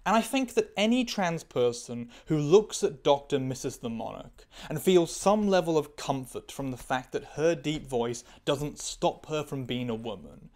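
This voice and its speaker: A woman's voice: deep voice, expressive, moderate pace, hardly any pauses, a little mellow. Candid woman giving scripted commentary. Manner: candid, opinionated, analytical. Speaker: video essayist.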